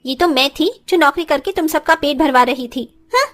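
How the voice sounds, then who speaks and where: A woman playing an arrogant young rich daughter-in-law with a haughty, sharp-tongued manner in a moral story cartoon. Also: high-pitched, moderate pace. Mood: scornful.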